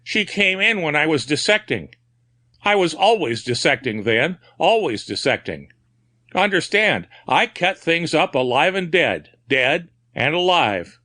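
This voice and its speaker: A man's voice: fairly high pitch, sing-song, measured pace, sharp tone, voice pushed up, exaggerated voice. A man voicing a refined but haunted asylum patient, feverish and confiding, who breaks into frantic cries.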